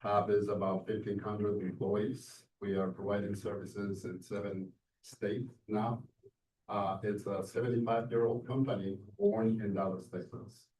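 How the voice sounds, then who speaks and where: A man's voice: fairly low pitch, level tone, a little mellow. Man in his sixties with a Mexican accent. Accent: Mexican Spanish. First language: Spanish. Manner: halting, with many fillers and restarts. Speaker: transit industry speaker. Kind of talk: conference talk. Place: event room. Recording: roomy, distant mic with faint noise.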